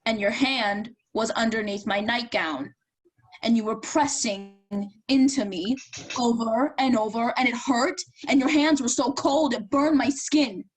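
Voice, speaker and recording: A woman performs an anguished young woman in a realistic stage drama, with a fairly high voice. She sounds pained and earnest, yet resolute and defiant toward the brother she is escaping. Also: a little slow, breathy edge, poor audio. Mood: pained, confessional. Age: young adult.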